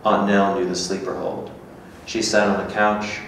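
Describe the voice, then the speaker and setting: Guy reading the part of a low brooding guarded man, staged reading, very echoey and kinda far off. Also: flat delivery, normal speed, a bit bright, lightly acted. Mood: reminiscing. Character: brooding, guarded, melancholy man who reminisces. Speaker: performer reading a script. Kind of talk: staged reading of a literary dialogue. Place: small gallery room before an audience. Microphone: stand microphone, heard from a distant camera. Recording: echoey room, distant mic, faint noise.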